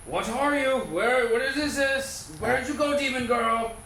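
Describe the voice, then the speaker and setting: Male game player, excitable and bright, casual role-play, roomy recording. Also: very high-pitched, a little slow, gravelly. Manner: excitable, breaks into loud exclamations. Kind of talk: casual role-play, speaking as his character. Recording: roomy, with faint background noise.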